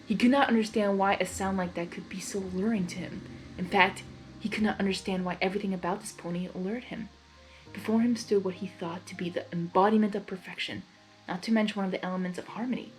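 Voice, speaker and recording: A woman reading fan fiction aloud in an informal, excitable way, with casual reaction asides between the narration. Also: mid-range voice, fast talker, faint music behind, low-quality recording.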